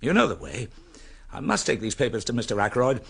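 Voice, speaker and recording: An actor playing a genial, busy private secretary in a radio drama, brisk and politely chatty. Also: male voice, medium pitch, wildly swooping pitch, creaky, breathy edge, exaggerated voice.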